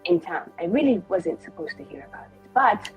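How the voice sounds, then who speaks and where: A woman's voice: fairly low pitch, animated, fairly quick, soft background music, close to an everyday voice. A woman playing a confident, spiteful female elven mage in a tabletop game, brash and foul-mouthed in manner. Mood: earnest.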